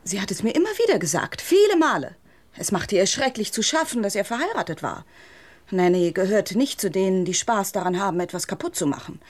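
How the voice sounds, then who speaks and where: A woman's voice: mid-range voice, expressive, brisk, lightly acted. An actress playing a bitter, accusing young woman in a radio drama, blunt and insistent.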